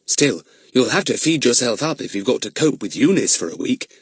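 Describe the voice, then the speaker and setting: A man voicing a sensible, bossy young girl who speaks in a brisk, no-nonsense way for a storybook reading. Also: mid-range voice, very animated, breathy edge, no room sound, pitched above the performer's own voice.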